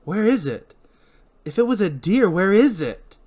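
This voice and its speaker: High, insistent young woman put on by a reader. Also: huge pitch swings, fast talker. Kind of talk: hammy amateur script reading.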